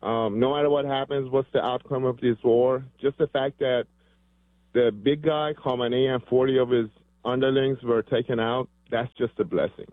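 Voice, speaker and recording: A man's voice: medium pitch, fairly even intonation, normal speed, no room sound. A man who grew up in Iran, calling into a radio show, fervent and earnest. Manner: earnest, fervent, uses comparisons. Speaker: caller. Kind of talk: phone-in call. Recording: dry phone line.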